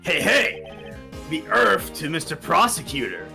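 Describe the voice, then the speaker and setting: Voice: mid-range voice, sing-song, fast talker, a bit bright, faint music behind, voice pushed up. A performer voicing a spirited, cheeky young girl assistant in a game fan dub, perky and teasing.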